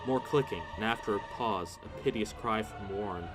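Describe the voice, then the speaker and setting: A man's voice: fairly low pitch, normal speed. A man narrating a horror story in the first person, solemn and theatrical. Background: music.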